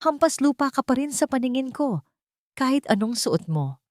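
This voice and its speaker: A woman's voice: sits high, big pitch swings, moderate pace, darkish tone, no echo, clean studio sound, pitched above the performer's own voice. A woman voicing a bitter, haughty old rich woman in an audiobook, speaking with hissing contempt. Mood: bitter scorn.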